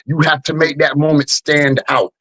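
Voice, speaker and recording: A man's voice: fairly high pitch, level tone, moderate pace, sharp tone. An American man, a rap artist being interviewed, speaking conversationally and earnestly, with frequent check-in phrases to the listener. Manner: earnest, punctuates with "you know what I'm saying". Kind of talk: conversational storytelling.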